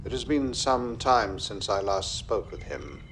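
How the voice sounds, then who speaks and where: A man voicing an authoritative Klingon statesman and commander in a video game, speaking in a formal, measured way. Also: lowish voice, lively intonation, fairly bright tone, slight background noise. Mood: measured, probing. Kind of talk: video game cutscene acting.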